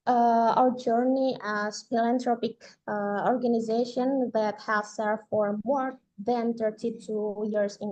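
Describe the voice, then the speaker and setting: Halting Indonesian-accented woman, mellow voice. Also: on the high side, highs cut off. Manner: careful, halting, frequent "uh" pauses. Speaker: presenter from a philanthropic organization. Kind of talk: online conference presentation. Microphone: video call.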